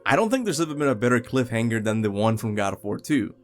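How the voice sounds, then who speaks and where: A man's voice: medium pitch, big pitch swings, quick pace. A man presenting scripted video game commentary, speaking enthusiastically and emphatically straight to his viewers. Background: music.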